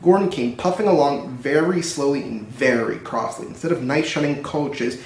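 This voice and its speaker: A man's voice: medium pitch, moderate pace, darkish tone. A man narrating a children's story as a storybook reader. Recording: roomy echo, distant microphone.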